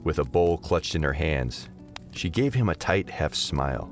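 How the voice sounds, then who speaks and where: A man's voice: extremely low pitch, expressive, a little slow, faint music behind. A man narrating a scripted fantasy audio drama in a dramatic storytelling style.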